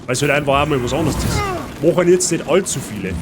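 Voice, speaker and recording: A man's voice: fairly high pitch, animated, fast talker, over music. A man with a Bavarian accent chatting casually with his viewers while playing a game. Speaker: streamer. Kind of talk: casual let's-play chat. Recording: noisy stream audio.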